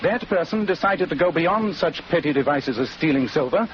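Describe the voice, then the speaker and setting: An actor in a 1940s radio drama playing an assured, incisive detective with precise English diction and an authoritative manner. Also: male voice, on the high side, lively intonation, normal speed, natural voice. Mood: accusing. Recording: muffled, noisy 1940s radio recording.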